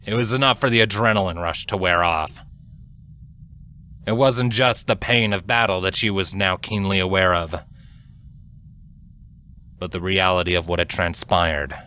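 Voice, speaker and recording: Low, bright-voiced man narrating dramatic fiction. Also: a little hiss or hum. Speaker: audiobook-style fan fiction narrator. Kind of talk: scripted dramatic story narration.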